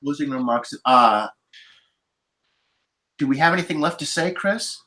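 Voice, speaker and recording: A man's voice: fairly high pitch, animated, unhurried, bright, forceful tone. A man speaking as a podcast panelist in a casual movie discussion.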